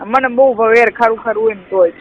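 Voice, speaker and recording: A woman's voice: on the high side, fast, a little hiss or hum. A young adult woman, chatting casually and intimately as a caller on a recorded phone call.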